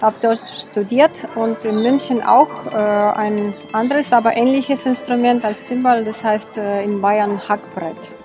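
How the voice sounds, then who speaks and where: A woman from Belarus chats in an interview with a fairly high voice. She speaks hesitantly, searching for words as she goes. Music plays clearly behind her. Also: fairly even intonation, measured pace, few pauses. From Minsk, Belarus. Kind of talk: casual interview chat. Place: outdoors in the evening.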